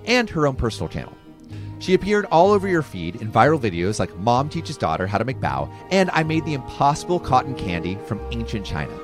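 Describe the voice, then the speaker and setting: A man hosting an interview podcast, reading an intro and asking reflective questions. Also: mid-range voice. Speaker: podcast host.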